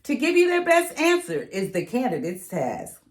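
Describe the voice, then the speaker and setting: A woman reading a rhyming children's picture book aloud in an expressive, sing-song way. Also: on the high side, moderate pace. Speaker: read-aloud reader.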